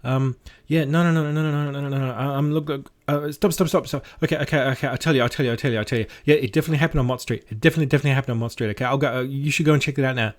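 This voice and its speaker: A man voicing a shifty male informant who stammers, repeats his words and rushes to get away. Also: medium pitch, fairly even intonation, pitched above the performer's own voice, close to an everyday voice. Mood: panicky.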